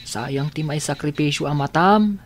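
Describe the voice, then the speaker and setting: A man voicing a gentle, worried young boy, a caring brother in an Ilocano radio drama. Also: a little high, normal speed, natural voice.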